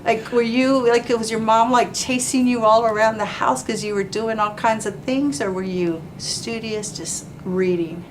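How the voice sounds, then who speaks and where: A woman hosting an interview, warm and formal, full of praise and asides. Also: a little high, fairly even intonation, measured pace, hardly any pauses, slight background noise, poor audio. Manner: effusive, praising, fond of "you know" and "like". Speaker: interview host, chamber of commerce president.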